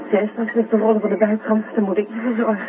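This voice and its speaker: A woman's voice: medium pitch, little pitch movement, fast, close to an everyday voice. An actress playing a weary, strained but caring female astronaut survivor in a radio drama. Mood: insistent, irritated. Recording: phone-like narrow old radio recording, music behind.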